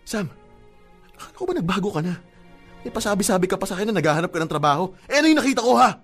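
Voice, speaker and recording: Man acting high-pitched, wounded young Filipino boyfriend over music. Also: lively intonation, rapid-fire, slightly rough, full character voice. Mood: angry, accusing. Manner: accusing, insistent, punctuating with sharp questions. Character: hurt, jealous, proud young boyfriend confronting his girlfriend. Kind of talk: radio drama acting. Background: dramatic background music.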